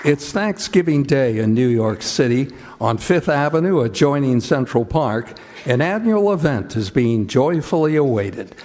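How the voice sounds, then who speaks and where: A man announcing in the style of 1940s radio, with a measured, deliberate delivery, as the host of a show. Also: medium pitch, expressive, darkish tone.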